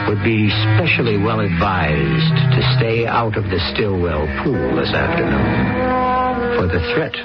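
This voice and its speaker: A man narrating a radio drama in a measured, ominous way, over dull lo-fi old radio sound with loud music behind. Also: low voice, full character voice.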